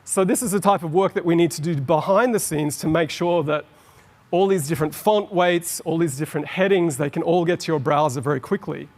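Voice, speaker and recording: A middle-aged Australian man giving an enthusiastic, explanatory conference talk on stage. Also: on the high side. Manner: explanatory, enthusiastic, quickens on asides. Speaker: conference presenter. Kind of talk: keynote presentation. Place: large conference hall on stage. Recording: long hall reverb.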